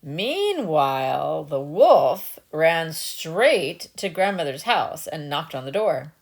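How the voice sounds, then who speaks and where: Very animated woman storyteller. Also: sits low, slow pace. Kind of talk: dramatic storybook narration with casual asides.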